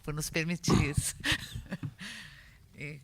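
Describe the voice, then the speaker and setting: A woman with a gravelly, mid-pitched voice offers a few words at a museum seminar. She speaks slowly and hesitantly, with frequent laughter between phrases. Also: level tone, slight background noise. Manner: slow and hesitant, laughs often. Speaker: seminar co-organizer. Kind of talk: brief informal remarks at a seminar.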